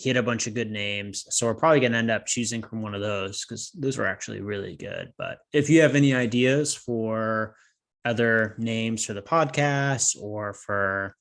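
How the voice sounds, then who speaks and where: A man's voice: on the low side, fairly bright tone. Rambling young man, casual podcast host. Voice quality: a bit nasal. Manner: rambling, loses his train of thought. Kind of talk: casual chat.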